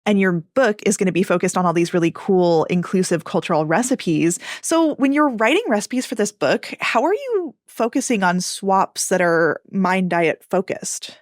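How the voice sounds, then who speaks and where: A woman's voice: medium pitch, very animated, moderate pace, few pauses, no room sound, studio quality. A woman hosting a nutrition podcast, asking her guest questions in a curious, friendly way. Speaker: podcast host, dietitian. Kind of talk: interview questions, casual chat.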